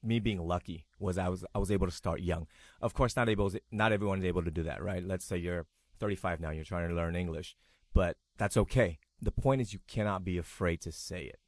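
A man, speaking as an interview guest in a relaxed, plain-spoken way. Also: sits low, a little fast, dry recording, clean studio sound. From Taiwan. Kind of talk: casual conversation.

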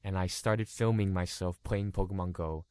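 A man in his thirties from Los Angeles, whose first language was Cantonese, telling his story in a relaxed interview full of "like" fillers. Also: sits low, normal speed, clean tone, no room sound. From Los Angeles, California. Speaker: guest YouTuber.

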